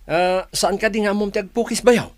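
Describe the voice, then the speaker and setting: A man's voice: sits high, steady tone, moderate pace, lightly acted. A man playing a favour-seeking brother-in-law in an Ilocano radio drama, coaxing and hesitant, with a northern Philippine Ilocano accent. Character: Jojo, wheedling brother-in-law asking favours.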